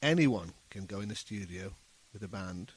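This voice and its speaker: A man's voice: low voice, expressive, moderate pace, slightly rough. A middle-aged man talking casually as an interview guest, earnest and repeating words as he gets going. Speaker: musician guest, saxophone busker.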